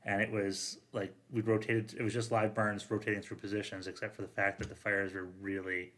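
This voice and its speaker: A man telling stories in a casual, animated chat, quoting banter and swearing a bit as a firefighter would. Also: on the low side, a little fast. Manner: profane, quotes dialogue in banter. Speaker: firefighter and podcast panelist.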